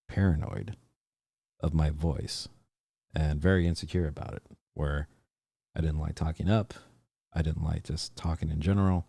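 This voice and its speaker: A man with an extremely low, gravelly voice and a husky edge talks loosely on his own podcast stream. He wanders through his thoughts with fillers and false starts. The sound is dry and close to the mic. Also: warm tone, dry recording. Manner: rambling, hesitant, many fillers and restarts. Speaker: podcast host. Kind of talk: casual livestream chat.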